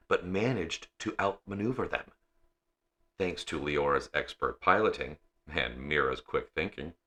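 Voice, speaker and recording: A man reads a science fiction story in a very low register. His delivery is slow and measured, and the tone is bright and forceful. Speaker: audiobook reader.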